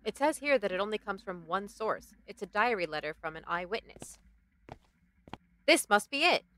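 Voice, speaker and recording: Woman playing a bright, curious companion. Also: mid-range voice, expressive, normal speed, close to an everyday voice. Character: curious, sharp-minded female travelling companion. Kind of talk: audio drama acting.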